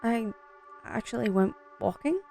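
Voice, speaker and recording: A woman's voice: fairly high pitch, expressive, measured pace, creaky, mellow, faint music behind. A young woman playing a wary, dryly sarcastic college student in an audio drama. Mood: weary. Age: young adult. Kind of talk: audio drama acting with self-talk.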